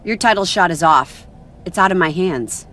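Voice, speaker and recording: A woman's voice: fairly low pitch, lively intonation, moderate pace, a bit bright. A woman playing an officious, authoritative general manager in a wrestling video game, controlled and firm. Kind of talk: scripted wrestling game cutscene acting.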